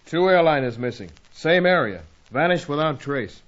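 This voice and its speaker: An actor playing a gruff, money-minded American seaplane pilot in an adventure radio serial, slangy, curt and callous. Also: male voice, a little high, animated, moderate pace, natural voice. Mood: cynical, dry. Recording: dull lo-fi audio with engine noise.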